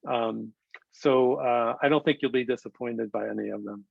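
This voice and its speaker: A man's voice: mid-range voice, moderate pace, gravelly, dry recording. Man rambling on a dull video call. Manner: rambling, many ums and restarts. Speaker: translator giving a talk. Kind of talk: virtual lecture Q&A, casual.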